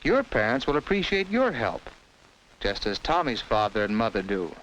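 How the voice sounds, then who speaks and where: A man's voice: lowish voice, very animated, normal speed, fairly bright tone, slight background noise, rough recording. An American man narrating a 1950s educational film in simple, instructive storytelling addressed to children, on a vintage film soundtrack.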